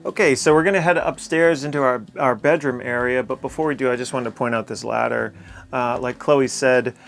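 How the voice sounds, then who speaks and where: A man's voice: medium pitch, steady tone, fairly quick, vocal fry, fairly bright tone, soft background music. A man giving a casual, conversational tour of his own home as its owner. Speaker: homeowner giving a house tour. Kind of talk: casual house tour narration.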